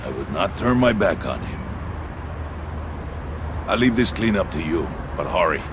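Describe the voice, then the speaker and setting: An actor playing a proud, battle-loving mercenary leader in a video game, giving clipped, imperious orders. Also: male voice, sits low, sing-song, normal speed, noisy background. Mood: cool command. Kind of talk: dramatic video game acting.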